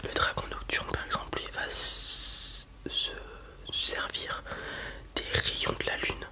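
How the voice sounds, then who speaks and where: Brief: whispered ASMR roleplay voice, any sex, breathy and soft, hesitant explainer playing a dragon breeder. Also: slow pace.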